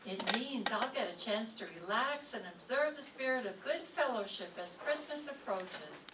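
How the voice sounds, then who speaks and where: Droll female schoolteacher, played by a woman, deadpan radio comedy, slightly high voice, clearly noisy recording. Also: normal speed, lightly acted. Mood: wry. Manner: deadpan, wisecracking. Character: droll, put-upon female English teacher. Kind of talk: old-time radio comedy acting. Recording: noisy echoey stage, distant mic.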